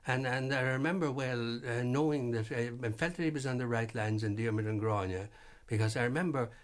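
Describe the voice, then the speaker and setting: A man, speaking hesitantly as an interview guest in a vintage radio program. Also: medium pitch. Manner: halting, many fillers and restarts. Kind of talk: reflective interview talk.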